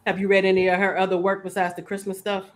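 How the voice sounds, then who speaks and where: A woman's voice: medium pitch, completely flat delivery, quick pace. Female book show host, casual and chatty, conversational with the audience. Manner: chatty, addresses live audience by name. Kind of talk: casual book discussion chat.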